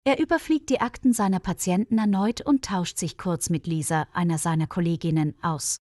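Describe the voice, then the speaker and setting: A woman reading a scripted story for language learners, articulating clearly. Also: on the low side, moderate pace, a little mellow, no echo, studio quality. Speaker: narrator.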